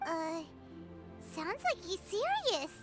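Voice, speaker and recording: A woman voicing a tiny, childlike, excitable fairy companion with a squeaky voice in a video game. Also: takes it slowly, over music, heavily acted. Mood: startled, unsure. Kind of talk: video game character acting.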